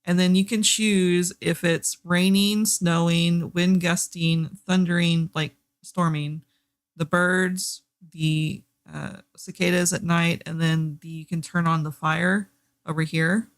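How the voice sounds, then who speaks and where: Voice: mid-range voice, flat delivery, takes it slowly, no room sound. A streamer from Texas with a Southern accent, chatting casually and rambling with many fillers while hosting a reading sprint. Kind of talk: casual livestream chat. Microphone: desk microphone, headset off.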